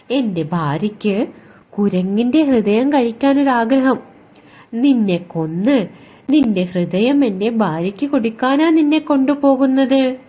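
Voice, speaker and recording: A woman's voice: a little high, dark tone. A woman voicing a sly male crocodile in a children's story, coaxing and exclaiming, friendly on top and treacherous underneath. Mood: scornful, gloating.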